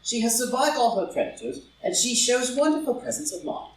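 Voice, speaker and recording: A man's voice: very high voice, animated, a little fast. A man playing a flippant English dandy lord with a languid, arch, dryly witty manner in a stage comedy reading. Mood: amused, flippant. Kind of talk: live read-through of a stage comedy as audio drama. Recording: echoey room, distant mic, faint noise.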